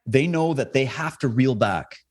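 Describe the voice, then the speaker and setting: A man speaks as a podcast guest, his voice sitting at an ordinary middle pitch. He talks with fervent conviction, pushing higher and louder when he is stirred up. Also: animated, moderate pace. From Canada (Alberta). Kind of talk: conversational podcast interview.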